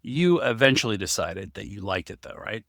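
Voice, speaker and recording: A man's voice: fairly low pitch, very animated, moderate pace, gravelly, fairly bright tone, no echo, clean studio sound. A man explaining things conversationally as a podcast co-host.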